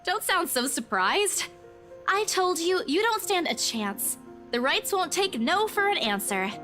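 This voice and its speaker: A woman's voice: very high voice, very animated, unhurried, bright, forceful tone, faint music behind, voice pushed up, full character voice. A woman voicing a sassy, defensive young woman in a visual novel, bantering back and forth. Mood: teasing, irritated.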